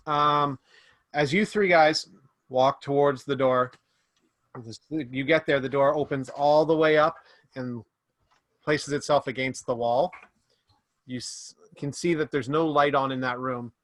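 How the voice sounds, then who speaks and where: A man's voice: fairly high pitch, fairly bright tone, dry recording. Man narrating as game master, dry sound. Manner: slow, drawn-out descriptive narration. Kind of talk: narrating a tabletop game on a video call. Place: home room indoors. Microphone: video call webcam mic.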